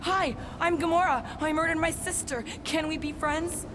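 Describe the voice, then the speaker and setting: Alien female assassin, high voice, intense, bitter, guarded, dry sarcasm, video game drama, music behind. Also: fairly even intonation, normal speed. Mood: grave, bitter.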